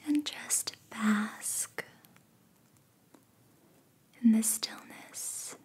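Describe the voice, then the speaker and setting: A woman guiding a meditation in soothing ASMR style, in a soft, breathy whisper with repeated phrases and long pauses. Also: fairly high pitch. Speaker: ASMR reiki practitioner and meditation guide.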